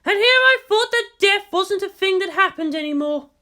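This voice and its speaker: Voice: very high voice, expressive, moderate pace, bright, forceful tone, voice pushed up, exaggerated voice. A narrator voicing a bitter, menacing and weary female military commander in an audiobook story, with a taunting delivery. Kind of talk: dramatic audiobook character voice.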